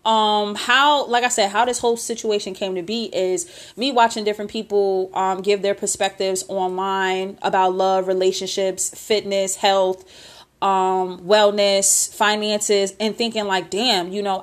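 A woman in her late twenties hosting a casual solo podcast intro, rambling and candid, with lots of filler and mild swearing. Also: medium pitch. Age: 20s. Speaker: podcast host.

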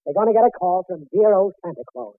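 An actor playing a blustering, bossy and sarcastic boss who can put on a jolly Santa act, in 1940s radio comedy. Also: male voice, high-pitched, quick pace, gravelly. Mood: jolly, coaxing. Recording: tinny vintage radio broadcast.

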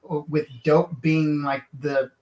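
A man's voice: a little high, level tone, slow pace, a little mellow, slight background noise. A man from Kansas, an author and lawyer, telling his life story in a casual interview, rambling with lots of fillers and restarts. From Kansas, USA. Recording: echoey room.

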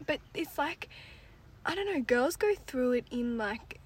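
Young woman, slightly high voice, candid podcast co-host who drops to near-whispers. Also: lively intonation, measured pace, over a noisy crowd. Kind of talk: casual chat.

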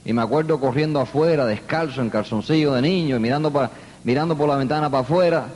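A man's voice: medium pitch, fast talker, darkish tone, in a large hall, a little hiss or hum. An older man from New York giving an earnest motivational speech to a live audience. He tells the story with emphatic repetition. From New York, United States. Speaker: motivational speaker.

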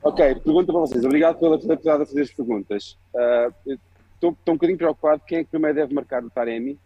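A man's voice: fairly high pitch, very fast, breathy edge, no echo. A man from Lisbon with a European Portuguese accent, calling in to politely put his question to a panel over a video call. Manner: polite, earnest, direct. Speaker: caller. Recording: dull lo-fi call line.

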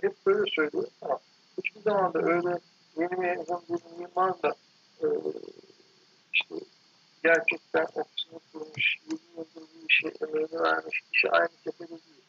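Casting: man, very raspy and creaky, halting and self-correcting interviewee, casual and reflective, dull lo-fi sound. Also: sits high, big pitch swings, fairly bright tone. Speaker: architect guest being interviewed.

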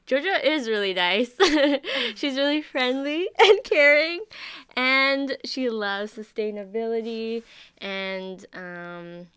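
A young woman of twenty-one with a Canadian accent and a bright, crisp voice. She co-hosts a podcast in an informal, chatty style full of youthful slang. Her voice sounds clean and very dry, without room sound. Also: on the high side, animated, slow, no echo. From Vancouver, Canada. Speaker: podcast co-host. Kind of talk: casual, giggly chat between friends.

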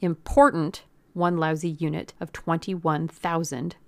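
Audiobook villain: gruff, bossy male executive played by a woman, irritable, gravelly, close dry sound. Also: on the low side, normal speed, no echo. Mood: irritated. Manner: irritable and dismissive. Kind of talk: audiobook character acting.

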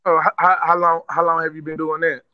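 An American mailman in casual conversation, relaxed and slangy, who stammers at the start of sentences. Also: fairly high pitch, fast, dry recording, lo-fi sound. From United States.

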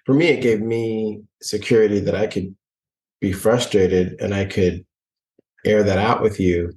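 A man co-hosting a relationship podcast, speaking reflectively and haltingly with frequent restarts. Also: on the low side, fairly even intonation, darkish tone, roomy sound, distant mic, poor audio. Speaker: podcast co-host. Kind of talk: casual couple chat.

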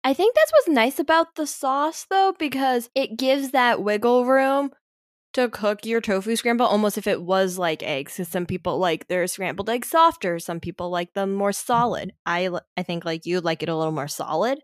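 A young woman talks in a relaxed podcast chat, wandering through her thoughts with plenty of hedges and restarts. Her voice has an everyday middle pitch and sounds dry and close to the mic. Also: normal speed, dry recording. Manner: rambling, thinks aloud with many hedges. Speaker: podcast co-host. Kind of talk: casual chat.

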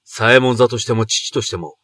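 Casting: male actor as proud, middle-aged feudal commander and father; forceful, sharp tone, archaic imperious diction, very dry close sound. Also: mid-range voice, brisk, no room sound, lightly acted. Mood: anguished, pleading. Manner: archaic, imperious samurai speech. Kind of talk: Japanese period voice drama acting.

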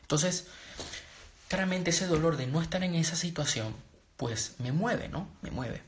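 A man's voice: a little high, animated, unhurried, a little hiss or hum. Earnest Venezuelan-born man coaching viewers. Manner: earnest, rambling with many restarts and fillers. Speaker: personal development and trading coach. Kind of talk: casual live-stream talk to viewers.

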